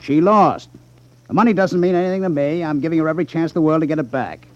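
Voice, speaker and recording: An American actor playing a slick, short-tempered gambling boss with brusque, mocking tough talk in 1950s radio drama style. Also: male voice, fairly high pitch, quick pace, close to an everyday voice. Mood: smooth, defensive. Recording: dull 1950s radio recording.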